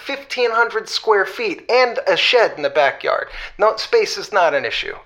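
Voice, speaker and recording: An actor playing a sheepish, hopelessly romantic husband calling a radio show in a comedy audio drama, rambling haltingly and repeating himself. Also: male voice, sits high, lively intonation, fairly bright tone. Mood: defensive.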